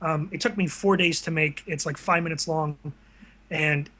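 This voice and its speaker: A man's voice: on the high side, steady tone, moderate pace, pushed and bright. A young man chatting casually as a guest in an interview. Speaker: guest, video creator. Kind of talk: casual chat.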